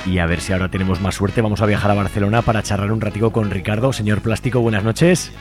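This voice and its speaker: A man's voice: fairly low pitch, fairly even intonation, fast talker, faint music behind. A man with an Aragonese Spanish accent hosting a casual radio interview, chatty and a little self-deprecating. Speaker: radio host.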